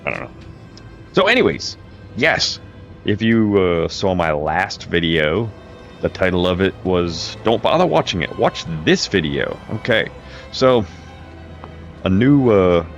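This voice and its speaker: A low-voiced man talks through a game build in a loose, meandering way, circling back and correcting himself as he goes. His streaming mic picks up a slight crackle, with faint game music underneath. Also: very animated, slow pace, pauses often. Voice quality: low-pitched voice. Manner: rambling, restarts and self-corrections. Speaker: gaming video creator. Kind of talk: casual gameplay commentary. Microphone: home streaming mic with crackle.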